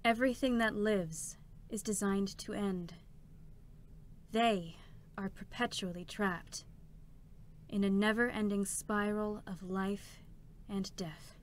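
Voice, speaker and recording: A woman giving scripted dramatic narration as a solemn storyteller, with a measured, grave delivery. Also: mid-range voice, steady tone, slight background noise, natural voice. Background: clear background music.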